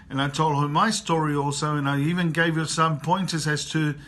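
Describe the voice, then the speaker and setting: Chilean-born guy in his 50s, motivational coach talk, kinda high voice, keeps repeating stuff for emphasis. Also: a bit bright. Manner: earnest, repeats phrases for emphasis. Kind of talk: personal motivational talk to camera. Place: travelling abroad.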